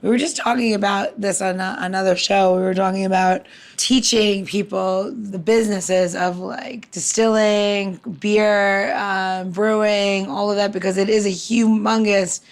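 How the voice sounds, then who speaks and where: A woman chats as a podcast host with a blunt, salty edge. She talks informally and slips into quoted back-and-forth when telling a story. Her pitch sits in a middle range. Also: steady tone, measured pace. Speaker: cocktail maker. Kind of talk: casual podcast chat with anecdotes.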